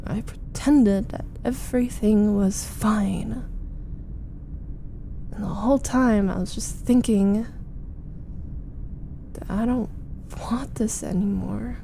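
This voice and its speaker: A woman playing a frustrated, jealous, needy young roommate venting in an intimate ASMR roleplay, with halting, dramatic delivery. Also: mid-range voice, vocal fry, dark tone, slight background noise. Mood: deflated, frustrated. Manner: halting, sighing, dramatic, emphatic complaining. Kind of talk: ASMR roleplay, intimate first-person monologue.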